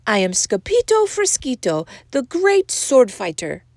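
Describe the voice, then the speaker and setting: A woman's voice: a little high, huge pitch swings, a little slow, darkish tone, dry recording, studio quality, pitched above the performer's own voice, exaggerated voice. A woman voicing a boastful, stubborn little kitten boy from a storybook, dramatic and defiant.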